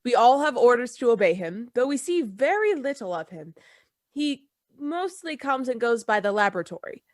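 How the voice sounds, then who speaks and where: A woman playing a polite, discreet male household servant in a Gothic radio play, quiet and obedient. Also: fairly high pitch, sing-song, normal speed, no room sound, clean studio sound. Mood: deferential, discreet.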